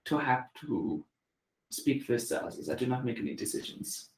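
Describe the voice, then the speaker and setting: A man voicing a wary male werewolf guard with a German accent, speaking in halting phrases in an echoey room. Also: medium pitch, big pitch swings, slight background noise, natural voice. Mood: stern.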